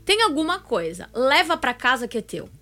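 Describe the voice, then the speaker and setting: A Brazilian woman with a slightly high voice and very varied intonation chats as a podcast guest. She drives her points home with emphasis. There is music with her voice. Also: big pitch swings, moderate pace, pushed and bright. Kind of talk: casual conversation.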